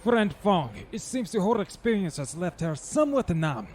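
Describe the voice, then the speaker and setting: Casting: man as suave older villain for audio drama, formal manners, high lively voice, courteous threat. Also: normal speed, slight background noise. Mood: smooth, coaxing. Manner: formal, elaborately polite. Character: suave menacing older man with a cat companion.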